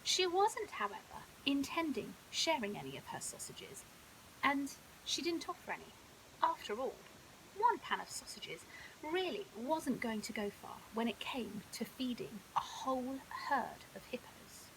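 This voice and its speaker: A woman's voice: high-pitched, sing-song, unhurried, fairly bright tone, low-quality recording. A woman narrating a children's bedtime story expressively, like a primary school teacher reading aloud. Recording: hissy background noise.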